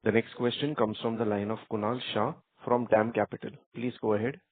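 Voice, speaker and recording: A man's voice: on the low side, fairly even intonation, a little fast. A man working as conference operator, reading formal scripted announcements. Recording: muffled narrowband phone line.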